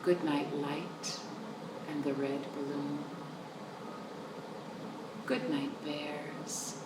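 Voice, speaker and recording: Woman, children's storytime host, lowish pitch, animated sing-song read-aloud, chatty asides, echoey room. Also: very slow. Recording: roomy, distant microphone with noticeable background noise.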